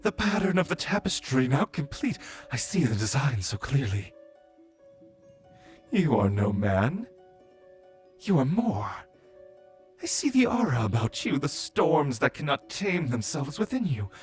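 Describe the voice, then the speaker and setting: A man playing a mad, zealous villain, a servant of a moon goddess, preaching in a grandiose, drawn-out way in a fantasy audio roleplay. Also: medium pitch, very animated, soft background music. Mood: ominous wonder.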